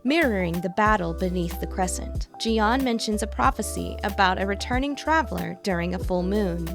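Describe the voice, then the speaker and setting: A woman reading a scripted recap of a video game's story in a dramatic storytelling manner, as the narrator of a lore video. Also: medium pitch. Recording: voice-over with clear background music. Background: music.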